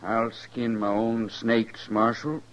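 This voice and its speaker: An actor playing a proud, vengeful older rancher with a rural Western accent, gruff and defiant, in 1950s radio western style. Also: male voice, lowish voice, flat delivery, slow. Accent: rural American Western. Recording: dull vintage 1950s radio recording.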